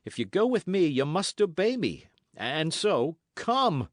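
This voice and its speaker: A gruff young squire barking orders in archaic speech, as a male narrator puts him on, his voice pushed up high and lively from the reader's own. Heard in a dry, close audiobook recording. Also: measured pace, no echo. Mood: rough, impatient. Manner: brusque, forceful old-fashioned speech.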